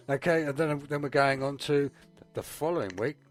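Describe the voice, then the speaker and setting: A man's voice: on the high side, moderate pace, faint music behind. A man co-hosting a radio show, putting casual interview questions to a guest.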